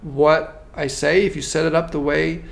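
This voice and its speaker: A man working as a marketing consultant, giving a measured, thoughtful interview answer with frequent restarts. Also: a little high, little pitch movement, gravelly. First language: not French.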